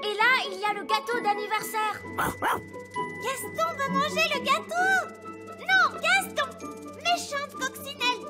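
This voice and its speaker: A performer voicing an excitable, playful tiny child in a French cartoon dub, bubbly and full of exclamations. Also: very high voice, measured pace. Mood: bossy, excited. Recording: light music behind the voice.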